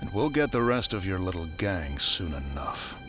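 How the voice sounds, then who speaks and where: An actor playing a menacing, smug crime syndicate villain in an audio drama, taunting with deliberate delivery. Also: male voice, low voice, big pitch swings. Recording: music behind. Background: dramatic music.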